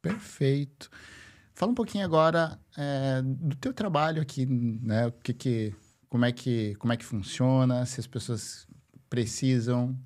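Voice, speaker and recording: A man with a mid-pitched voice hosts a casual conversation. He builds his thoughts haltingly in short pieces, drifting into anecdotes and checking in with little tag questions. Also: darkish tone. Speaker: interview host.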